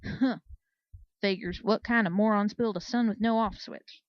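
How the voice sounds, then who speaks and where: Woman voicing a young gruff pegasus soldier. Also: medium pitch, fairly even intonation, normal speed, no room sound, dull audio, lightly acted. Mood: scornful. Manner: grumbling, blunt, impatient.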